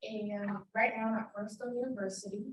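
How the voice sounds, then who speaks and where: A woman in her twenties, from South Carolina, introducing herself briskly and confidently as a student. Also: medium pitch, fairly even intonation. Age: 20s. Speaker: student guest. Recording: roomy, from a distance.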